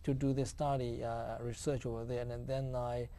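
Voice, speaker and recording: A man's voice: mid-range voice, level tone, normal speed, warm tone. A man in his forties from China with a Chinese accent, a guest telling his life story with many "you know" fillers. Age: 40s. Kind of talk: interview answers.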